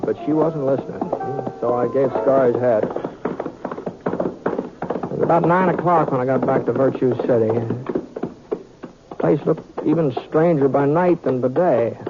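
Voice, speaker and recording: Man as a halting, mild cowboy, noisy tinny radio. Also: mid-range voice, close to an everyday voice. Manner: hesitant, halting, folksy drawn-out phrasing. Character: mild, reasonable drifting cowboy gunslinger hero. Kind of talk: old-time radio western acting.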